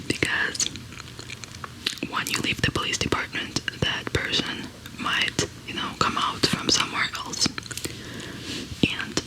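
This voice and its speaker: A woman in her thirties who hosts ASMR videos, softly whispering a true crime story while she crochets, close to a studio mic. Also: low-quality recording. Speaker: ASMR host. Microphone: large studio condenser mic close to her face.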